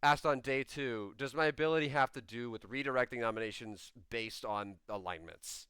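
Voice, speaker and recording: Man, storyteller, casual chat, crisp tone, dry close mic. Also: mid-range voice, normal speed, bright, forceful tone, dry recording. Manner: explains rulings at length, laughs often. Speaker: storyteller running the game. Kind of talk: casual post-game chat.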